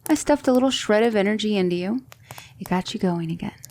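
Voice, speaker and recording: Woman acting as gentle female demon tutor, mellow, gravelly edge, whispery, reassuring, close-miked ASMR roleplay. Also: mid-range voice, fast talker, a little hiss or hum, lightly acted. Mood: calm, solemn storytelling. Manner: soft-spoken, often whispery, reassuring. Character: gentle demon woman mentor, soothing and patient.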